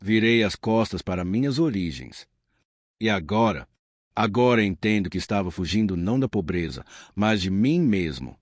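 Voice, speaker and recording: A man reading the lines of a wealthy businessman, now humbled and reflective, speaking in a measured way. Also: lowish voice, animated, no room sound, clean studio sound.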